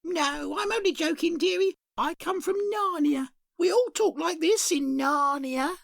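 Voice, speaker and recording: A woman voicing a chirpy talking female beaver shopkeeper in a high, sing-song voice who calls everyone dearie, in a storybook reading. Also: normal speed, gravelly, no room sound, studio quality.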